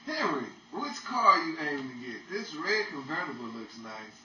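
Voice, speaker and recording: A man's voice: a little high, very animated, moderate pace, dull audio. A man acting as an eager, scheming, chummy sidekick in a 1940s-style radio comedy, glib and coaxing. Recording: echoey, distant mic with faint noise.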